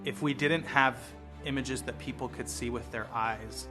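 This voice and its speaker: An American man, a lawyer and street photographer, speaking in an earnest, measured, reflective way in a documentary interview. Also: medium pitch, fairly even intonation. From United States. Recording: music bed playing behind voice.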